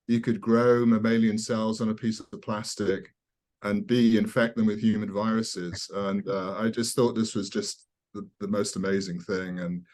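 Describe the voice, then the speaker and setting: An older man from South Wales with a softened British accent, speaking as a guest scientist in a conversational, halting, informal way. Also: fairly low pitch. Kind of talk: conversational interview, personal recollection.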